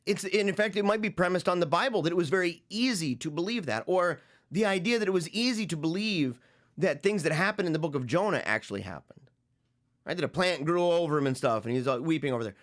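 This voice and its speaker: Host, male, forties, fairly high voice; unscripted, meandering reflection with restarts. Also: expressive, fairly bright tone. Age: 40s. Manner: rambling, halting, repeats phrases while thinking aloud. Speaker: podcast host discussing a book. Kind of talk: reflective solo commentary.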